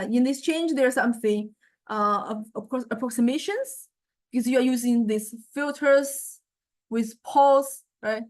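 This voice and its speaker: A woman giving an informal lecture and software demonstration, speaking haltingly with repeated word starts and frequent check-in questions. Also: fairly high pitch. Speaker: music technology lecturer.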